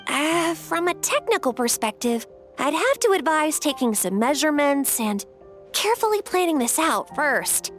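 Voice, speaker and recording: A woman playing a brisk, practical young technician in a video game, expressive as she explains. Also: sits high, soft background music.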